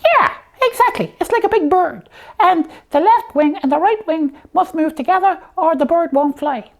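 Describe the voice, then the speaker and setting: A woman voicing a slipper puppet who hosts a comic show, explaining things simply and haltingly in a matter-of-fact way. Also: very high-pitched, animated, vocal fry, exaggerated voice.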